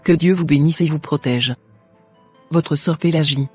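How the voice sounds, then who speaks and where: Earnest churchgoing sister in a cartoon played by a woman, husky, quiet music. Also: low-pitched, a little slow. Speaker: channel co-host. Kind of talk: scripted cartoon voice-over.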